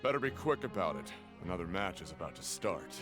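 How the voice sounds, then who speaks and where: Game ticket seller, lowish voice, swoopy showy patter, bit patronizing. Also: male voice, very animated, fast. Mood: brisk, hyping. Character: male fight ticket seller, brisk, slightly condescending hustler. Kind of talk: video game voice acting. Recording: game music behind.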